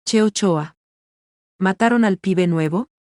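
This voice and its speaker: An actor with an Argentine accent playing an inquisitive, practical police officer in a radio drama. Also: male voice, sits high, slow, darkish tone, dry recording, clean studio sound. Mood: alarmed.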